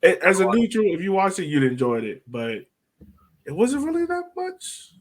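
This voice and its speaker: A man's voice: high voice, big pitch swings, moderate pace. A man hosting a podcast, chatting casually about sports. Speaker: podcast host.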